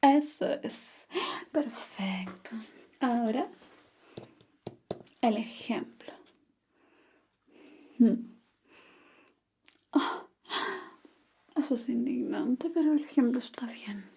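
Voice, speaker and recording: A woman whispering softly and teasingly, quizzing the listener on Chilean slang in an ASMR roleplay. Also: a little high, creaky, husky. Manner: slow, soft, teasing like a teacher. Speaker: Spanish slang teacher.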